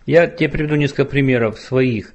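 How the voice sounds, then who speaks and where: A male podcast host who talks in an easygoing, chatty way and often ribs his guest with playful questions. His voice sits at an ordinary middle pitch. He speaks casually over a remote call. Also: fairly quick, dull audio. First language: Russian. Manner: relaxed, chatty, teasing questions. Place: home studio, remote call.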